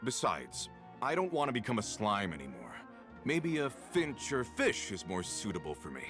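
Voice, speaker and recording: A man's voice: on the low side, wildly swooping pitch, normal speed, music in the background. A middle-aged man voicing a weary working man in a video game, resigned and plain-spoken.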